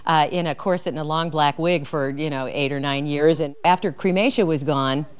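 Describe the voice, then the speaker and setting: A woman's voice: low voice, normal speed, smooth. A middle-aged woman who performs in a comedy troupe, chatting casually in an interview.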